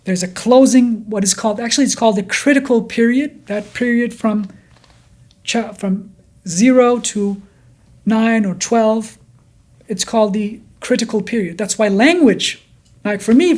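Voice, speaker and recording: A man's voice: sits high, moderate pace, darkish tone. Kurdish-Danish accented man explaining science. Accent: blended Danish and Kurdish. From Denmark, Kurdish from Iraq. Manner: repeats words and restarts often while explaining. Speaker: neuroscientist guest. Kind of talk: explanatory interview talk.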